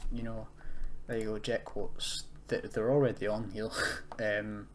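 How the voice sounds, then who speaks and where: Low-voiced young man, noisy tutorial recording. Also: level tone, measured pace. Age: young adult. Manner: hesitant, self-correcting, explanatory. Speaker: tutorial presenter, university student. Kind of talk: casual screen-recorded coding tutorial, unscripted. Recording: clear background noise, keyboard typing. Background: computer keyboard typing and noise.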